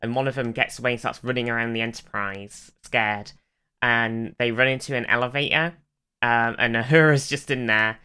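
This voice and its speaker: Casual podcast co-host, mid-pitched and bright, clean tone, enthusiastic fan energy, loose sweary delivery. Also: fairly even intonation, moderate pace, clear, resonant tone. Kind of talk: casual chat, recounting TV episodes.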